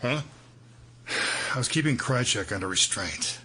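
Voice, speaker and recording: An actor playing a shaken, gruff senior law-enforcement boss in an audio drama, terse and halting. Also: male voice, mid-range voice, expressive, pushed and bright, full character voice. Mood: dazed, tense.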